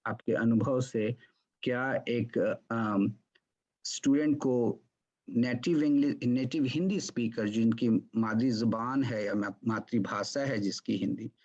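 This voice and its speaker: A man's voice: mid-range voice, a little mellow. A man asking a question at an online conference, talking casually with some hesitation and self-deprecating humor, mixing in English. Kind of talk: casual Hindi discussion mixed with English.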